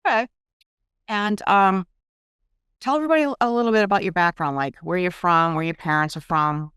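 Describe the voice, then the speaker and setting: A woman's voice: fairly low pitch, brisk, a bit bright, clear, resonant tone, dry recording, studio quality. A woman hosting a podcast and interviewing a guest with eager, friendly questions. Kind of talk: casual interview.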